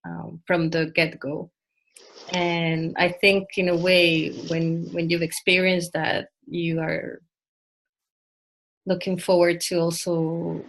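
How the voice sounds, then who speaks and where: A woman in her thirties from Colombia whose first languages are French and Spanish, speaking softly and thoughtfully as an interview guest. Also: lowish voice, gravelly, low-quality recording. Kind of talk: casual interview conversation.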